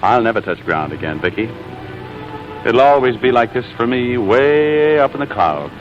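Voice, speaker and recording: An actor playing an easygoing, smitten fiancé in 1940s romantic comedy style. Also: male voice, medium pitch, lively intonation, normal speed, close to an everyday voice. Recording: dull old recording, faint music behind.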